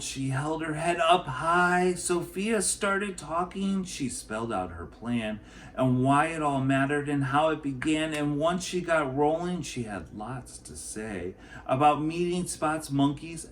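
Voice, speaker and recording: A man, a teacher, reading a children's picture book aloud in an expressive storytelling style. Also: on the high side, a little hiss or hum.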